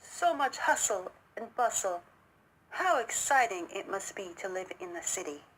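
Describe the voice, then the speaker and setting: A woman's voice: medium pitch, expressive, unhurried, fairly bright tone, slight background noise, low-quality recording. A woman voicing a hospitable, easily amazed male country chipmunk in a children's storybook, with an eager, exclaiming manner.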